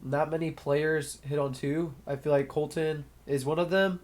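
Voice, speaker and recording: A man's voice: a little high, steady tone, moderate pace. A young man with a slightly nasal, stuffy voice from a cold, casually calling a match play by play on his own and thinking aloud between points. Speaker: sports commentator. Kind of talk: solo play-by-play commentary.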